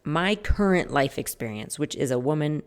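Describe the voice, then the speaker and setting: A woman's voice: low voice, animated, normal speed. A woman in her forties hosting a podcast, talking casually on her own and rambling, correcting herself mid-sentence. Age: 40s. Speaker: podcast host. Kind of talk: casual solo podcast talk.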